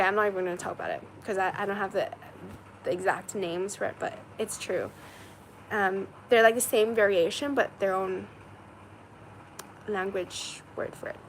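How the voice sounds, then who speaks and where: A woman's voice: medium pitch, pushed and bright, slight background noise, rough recording. A young woman from China chatting casually and rambling, full of "like" and "um", as she answers viewer comments. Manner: rambling, heavy use of "like" and "um". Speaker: streamer.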